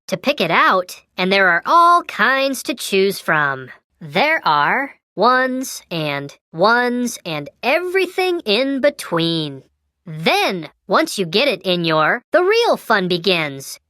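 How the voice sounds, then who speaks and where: A woman's voice: medium pitch, pushed and bright, dry recording, clean studio sound, exaggerated voice. A woman voicing a cheerful little Christmas elf toy, telling children's stories in a perky, exaggerated storybook manner. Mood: merry storytelling.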